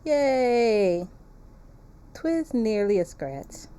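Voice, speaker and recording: A woman chats casually while streaming a game, joking playfully as she goes. Her voice is mellow and soft-edged, and she takes her time with an unhurried pace. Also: on the high side, very animated, clean tone. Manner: playful, joking, crude humor. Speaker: livestreamer. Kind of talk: casual game-stream chat and reading aloud.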